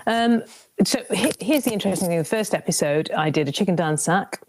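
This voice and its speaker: A woman, a cookery presenter telling stories in an animated way with many restarts during a radio interview. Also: on the low side, fairly quick, slight background noise. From Lancashire, England. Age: 50s.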